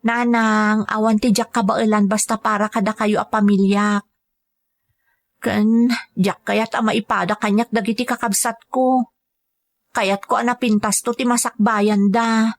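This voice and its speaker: A woman's voice: mid-range voice, flat delivery, a little slow, dry recording, close to an everyday voice. A woman with a Filipino accent playing a patient, dutiful young caregiver working abroad, in earnest, polite scripted drama. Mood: earnest, reassuring. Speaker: voice actor in an audio drama. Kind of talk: scripted drama dialogue and narration.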